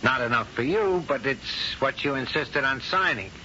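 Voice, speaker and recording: An actor playing a protective, plain-spoken showbiz agent and old friend in a radio drama, with a wry, solicitous manner. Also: male voice, mid-range voice, animated, moderate pace, bright, forceful tone, slight background noise. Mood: protective, exasperated. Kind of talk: 1970s radio drama acting. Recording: dull vintage radio broadcast with music.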